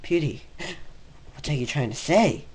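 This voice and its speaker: A man's voice: on the high side, expressive, measured pace, darkish tone. A man voicing an astonished young man who questions his friend earnestly, in a fan fiction reading with background noise. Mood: bewildered. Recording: noisy home recording.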